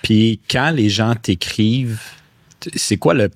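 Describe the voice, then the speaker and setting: A low-voiced man with a Québécois accent hosts a podcast. He asks his questions in a relaxed, conversational way. The recording is dry with no room sound. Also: slow, husky, dry recording. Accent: Québécois French. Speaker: podcast host. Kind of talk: casual interview chat.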